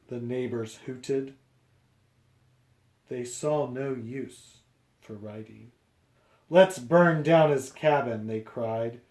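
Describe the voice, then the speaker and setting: A man reading a picture book aloud to young children, explaining deliberately phrase by phrase. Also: mid-range voice, a little mellow, roomy sound.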